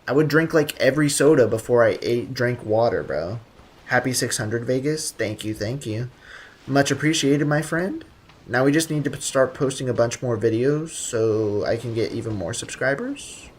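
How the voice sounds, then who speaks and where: A man live streaming a video game, chatting casually with viewers in a slangy, joking, rambling way. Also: medium pitch.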